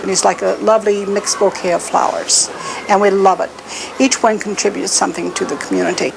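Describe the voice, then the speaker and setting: Creaky-voiced, articulate woman. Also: medium pitch, fairly even intonation, fairly quick. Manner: articulate, appreciative. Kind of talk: composed interview statement. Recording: noisy field recording.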